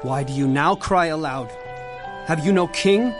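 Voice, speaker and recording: A man acting an impassioned biblical prophet in an audio drama. His voice sits a little high for a man, and he declaims solemnly and sternly against corrupt rulers. Music plays clearly behind him. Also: unhurried, lightly acted. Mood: urgent. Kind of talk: dramatized Bible reading.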